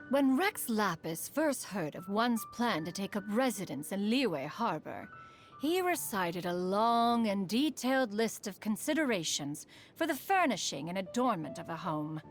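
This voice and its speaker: Haughty, lofty immortal woman, expressive pitch. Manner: formal, lofty, archaic diction, calls oneself "one". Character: dignified, haughty yet caring immortal bird adeptus woman. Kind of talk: scripted video game character voice lines.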